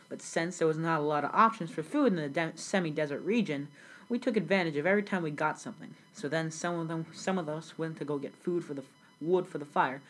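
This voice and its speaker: Brief: teen girl as an immigrant storyteller, low and husky, earnest and scripted. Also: poor audio. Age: young teen. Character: grown immigrant recalling a South Sudan childhood. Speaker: student playing the interviewee. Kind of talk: school project role-play interview.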